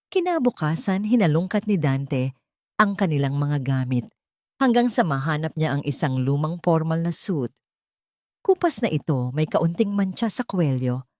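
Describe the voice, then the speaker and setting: A woman narrates a dramatic scripted story in measured, emotive phrases. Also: fairly low pitch, a little mellow, dry recording, studio quality.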